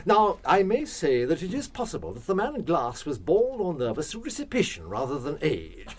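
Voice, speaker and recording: An actor playing an eccentric, self-assured gentleman detective in a radio drama, musing aloud and making deliberate, pompous pronouncements. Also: a little high, huge pitch swings. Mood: grandly confident. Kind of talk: full-cast radio drama acting.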